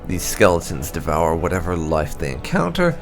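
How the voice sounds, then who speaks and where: A man reading game lore entries aloud as scripted narration, in a formal, measured, deliberate way. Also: low voice, big pitch swings, soft background music. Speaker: gaming channel host.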